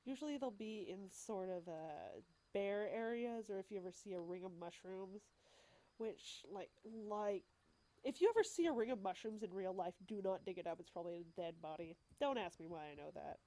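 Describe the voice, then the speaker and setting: A woman with a stuffed-up nose from a cold hosts a let's play in a mid-pitched voice. She chats casually and explains the game as she plays, with wry little asides. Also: lively intonation, normal speed, warm tone. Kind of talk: casual gameplay commentary.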